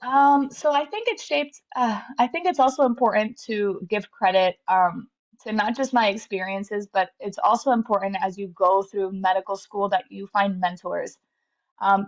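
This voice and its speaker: A woman in her thirties, a guest in an interview, tells her story in an earnest, reflective way, with many fillers and restarts. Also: mid-range voice. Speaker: interview guest, medical graduate. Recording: slightly dull lo-fi audio.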